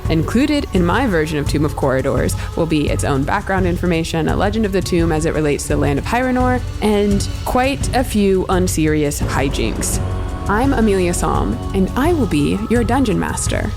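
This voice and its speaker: A woman's voice: lowish voice, expressive, moderate pace. Woman narrating a podcast promo. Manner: storybook cadence, then plain introductions. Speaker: dungeon master. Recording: music under the voice.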